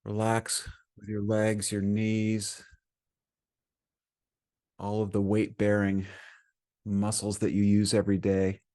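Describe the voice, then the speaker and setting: A man leading a guided meditation as a teacher, speaking gently and soothingly in short phrases with frequent pauses. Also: fairly low pitch, monotone.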